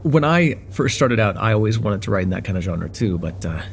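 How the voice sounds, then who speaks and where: A man's voice: on the low side, very animated, a little fast, creaky, slight background noise. A man playing a friendly, chatty young guy at a cafe in a casual, slightly hesitant conversational ASMR roleplay. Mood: friendly, curious.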